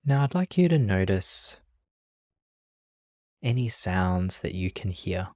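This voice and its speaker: A meditation teacher leading a guided practice in a gentle, calm, measured way with long pauses. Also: low-pitched, no echo, clean studio sound.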